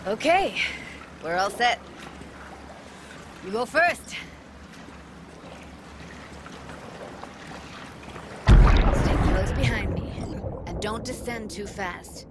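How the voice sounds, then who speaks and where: A playful, self-assured young techie woman in a cinematic video game. She talks in laid-back slang with a teasing edge, and clear watery ambient noise runs behind her. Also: mid-range voice, animated, slow, pauses often. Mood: teasing, brisk. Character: playful, self-assured young female braindance editor and diver.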